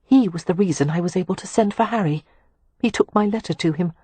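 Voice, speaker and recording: A woman doing a genteel young lady, higher voice. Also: a little fast, slightly rough, no echo. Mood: earnest. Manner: gentle, pleading, at times tart. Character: earnest young gentlewoman.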